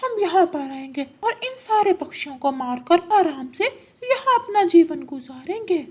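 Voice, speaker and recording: A woman's voice: very high-pitched, big pitch swings, moderate pace. A woman voicing a scheming, predatory female eagle who plots in declarations, in a cartoon story. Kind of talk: Hindi cartoon story acting.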